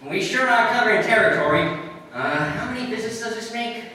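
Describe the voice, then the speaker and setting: Man playing a bright, slangy sergeant, echoey hall. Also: sits high, lively intonation, a little fast. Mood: weary. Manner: plain-spoken, slangy. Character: gruff, weary male police sergeant, plain-spoken sidekick. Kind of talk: live old-time radio drama acting. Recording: distant, very echoey hall, faint noise.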